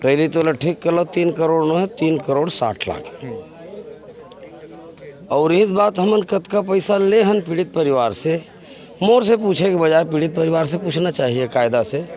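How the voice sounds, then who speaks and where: High-pitched Chhattisgarhi man, emphatic spokesman. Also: measured pace, darkish tone. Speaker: activist organisation spokesman. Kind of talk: interview answer in oratorical phrasing.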